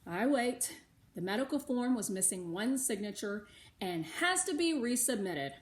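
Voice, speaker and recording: A woman with an American accent reading a storybook aloud for schoolchildren in an animated, emphatic way. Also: fairly high pitch, moderate pace. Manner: animated, emphatic, with occasional asides. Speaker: read-aloud narrator for schoolchildren. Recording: roomy, echoing indoor sound.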